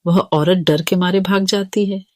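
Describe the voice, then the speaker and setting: A woman's voice: lowish voice, fairly even intonation, a little slow, darkish tone, no room sound, clean studio sound. A woman narrating a scripted children's story.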